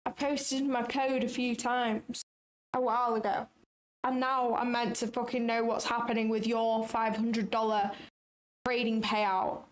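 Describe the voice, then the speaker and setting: A woman's voice: on the high side, level tone, a little slow. A young adult woman chatting casually on a trading livestream, blunt and sarcastic, swearing freely.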